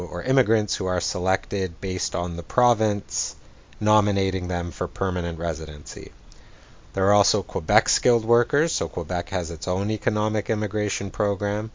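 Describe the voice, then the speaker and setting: Low-voiced guy hosting a podcast, calm explainer tone, kinda muffled sound. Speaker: podcast host. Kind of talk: scripted podcast intro.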